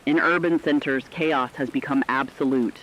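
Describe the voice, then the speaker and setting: A man playing a serious emergency radio reporter, reading scripted horror narration with measured urgency. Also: medium pitch, fairly bright tone, slight background noise, natural voice, low-quality recording. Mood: serious, urgent. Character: serious male radio reporter advising apocalypse survivors.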